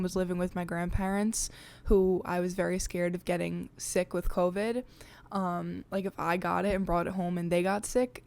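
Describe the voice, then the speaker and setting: A woman's voice: medium pitch, darkish tone. A young woman hosting her own podcast, chatting in a confiding way with lots of filler words. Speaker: podcast host. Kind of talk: casual chat. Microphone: desk podcast mic.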